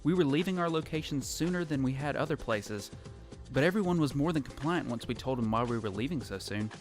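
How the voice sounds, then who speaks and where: A man's voice: mid-range voice, expressive, brisk, faint music behind, close to an everyday voice. A man playing a lonely, reflective survivor who narrates his post-apocalyptic journey in a scripted audio drama.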